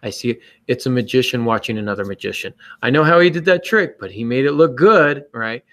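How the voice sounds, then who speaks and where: A man's voice: mid-range voice, lively intonation, a little fast, a bit bright. A man hosting a podcast, casually explaining his views on writing in a conversational way. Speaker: podcast host and writer.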